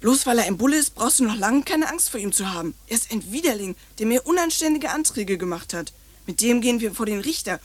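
A woman's voice: a little high, animated, fast. An actress playing a brash, bossy, precocious little girl in a satirical radio play. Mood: defiant. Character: cheeky, foul-mouthed, bossy little girl.

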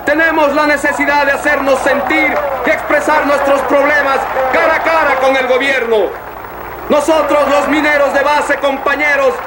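A man playing a rousing miners' union leader, giving an urgent shouted rally speech in a radio drama. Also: very high voice. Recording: loud outdoor crowd and noise.

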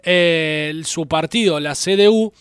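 A man's voice: on the high side, slow, clear, resonant tone, no room sound, clean studio sound. A man hosting a talk show, reporting political news in a conversational, slightly hesitant way. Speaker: radio host. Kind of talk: news presenting chat.

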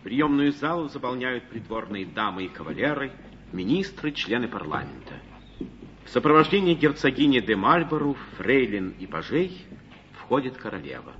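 A man's voice: medium pitch, big pitch swings, normal speed, fairly bright tone. A man narrating a scripted 1950s radio broadcast of a stage play. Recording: dull 1950s radio broadcast, faint hiss.

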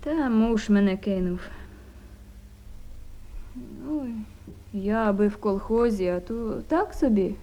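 A woman's voice: mid-range voice, lively intonation, takes it slowly, long pauses, highs cut off. An actress playing a poor, anxious village mother with a Ukrainian accent, rambling and plaintive in a naturalistic radio drama. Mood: plaintive, apologetic.

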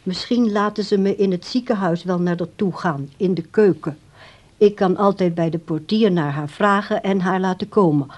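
An actress playing an insistent, coaxing grown daughter in a radio drama. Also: female voice, mid-range voice, mellow, natural voice. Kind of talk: radio drama dialogue and diary reading.

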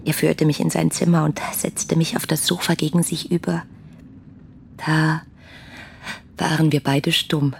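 An actress playing an ardent, dreamy young woman in a German radio play, effusive and lyrical in a breathy voice. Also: low voice, level tone, measured pace, pauses often, a little hiss or hum. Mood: awed, tender. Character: ardent, dreamy young woman writing rapturous letters about her idol. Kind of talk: German radio play, literary letter monologue.

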